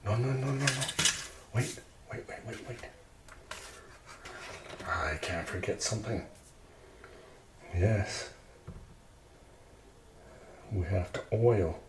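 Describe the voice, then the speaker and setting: A man's voice: fairly low pitch, expressive, takes it slowly, long pauses, background noise. A man casually narrating ASMR cooking, chatting playfully to himself as he works. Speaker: host of an ASMR cooking video. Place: indoors in a kitchen. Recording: roomy echo, loud kitchen clatter and clicks. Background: clicks, dishes, cutlery and pans.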